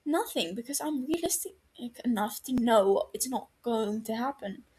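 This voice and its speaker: A woman's voice: on the high side, expressive, normal speed, a little mellow, breathy edge, voice pushed up. Woman playing a dry, exasperated young British man. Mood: deadpan, cynical. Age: 20s. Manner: sardonic, sighing, withering. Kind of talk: fan fiction audio story dialogue.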